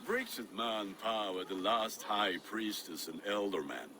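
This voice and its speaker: An actor playing a boisterous, playful, lovestruck Viking blacksmith in a video game, with a very animated delivery. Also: male voice, fairly low pitch, a little slow. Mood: cheerful, eager. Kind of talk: dramatic video game acting. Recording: noisy outdoor ambience behind voice.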